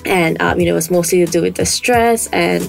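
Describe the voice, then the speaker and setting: Gravelly low woman, reflective. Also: brisk, soft background music. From Bangladesh. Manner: reflective, many "you know" fillers. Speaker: head of partnerships. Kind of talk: interview answers.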